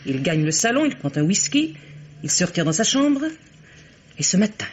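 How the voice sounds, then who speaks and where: An actress playing a domineering, imperious aunt in an old French radio mystery, curt and commanding. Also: female voice, fairly low pitch, big pitch swings, moderate pace. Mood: stern, commanding. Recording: dull vintage radio sound, clear hiss.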